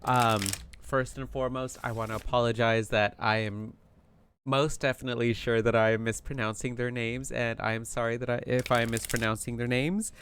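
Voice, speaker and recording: A man hosting a casual tarot card reading, talking in an animated, chatty way with plenty of fillers, his voice tired from yelling. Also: mid-range voice, fairly quick, slight background noise. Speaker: tarot reader.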